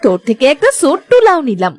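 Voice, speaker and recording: Cartoon elder daughter-in-law played by a woman: high, very animated, caring and reassuring, music underneath. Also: fast talker. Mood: tender, pleased. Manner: tender, reassuring, affectionate. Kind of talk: Bengali moral-story cartoon dubbing.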